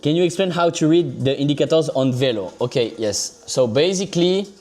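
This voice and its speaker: A man's voice: on the high side, brisk, a little mellow. A man with a French accent giving casual live trading commentary on a stream, loose and chatty, often saying "so basically" and checking in with "okay?". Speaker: livestream crypto trader.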